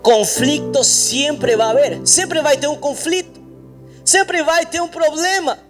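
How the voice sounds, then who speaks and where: A man's voice: extremely high pitch, unhurried, music in the background. A pastor preaching a sermon in a church, emphatic and repetitive, speaking straight to his congregation. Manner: addresses the congregation directly.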